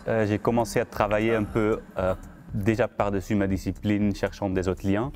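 Hesitant Belgian circus man, low voice. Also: level tone, fairly quick, darkish tone, slight background noise. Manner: hesitant, many fillers. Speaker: circus artist. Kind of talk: casual interview.

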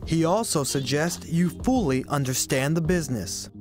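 A young man, a news correspondent, reading a scripted report in a crisp, polished delivery. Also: fairly high pitch, expressive, moderate pace, darkish tone, soft background music.

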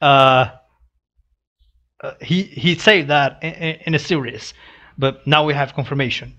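Slow-talking, higher-pitched young man. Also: bright, forceful tone, muffled recording. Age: young adult. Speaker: reaction video host. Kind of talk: casual read-along commentary on a comic. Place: small room indoors. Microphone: headset boom mic.

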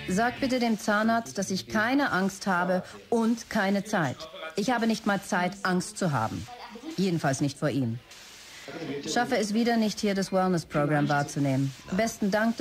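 An actress playing a brisk, stressed, commanding start-up boss in a German audio drama, dictating messages curtly. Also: female voice, lowish voice, lightly acted. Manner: curt, businesslike dictation of messages.

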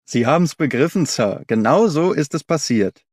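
A man's voice: fairly high pitch, expressive, normal speed, darkish tone, no echo, studio quality. A man voicing a talkative, loyal older woman servant in an audiobook story, colloquial, deferential and eager to explain. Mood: agreeable.

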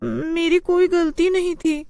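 Woman doing a high-pitched, scheming young mistress. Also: slow, gravelly, dark tone, dry recording, clean studio sound, heavily acted. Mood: frightened, pleading. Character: scheming young mistress, shrill and self-serving. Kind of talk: animated story dialogue.

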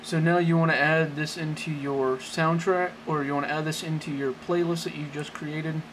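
A man giving a casual, unscripted how-to demonstration in a halting, rambling way, often correcting himself, talking from behind a handheld camera. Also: a little high, fairly even intonation, background noise. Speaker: amateur tech tutorial presenter. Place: small indoor room at a desk. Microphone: handheld camera, off-screen close behind it.

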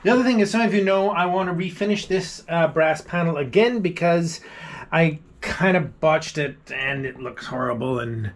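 A middle-aged man with a slightly high voice walks through repair tips in a casual, self-deprecating way. He is recorded on a camera mic inside a small wooden boat cabin. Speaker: vlogger. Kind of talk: casual how-to vlog narration.

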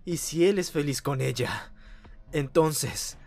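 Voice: medium pitch, slow pace, darkish tone, faint music behind. An actor voicing a fiercely protective young big brother hero in a cartoon game fandub. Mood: firm, earnest. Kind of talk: fandub character acting.

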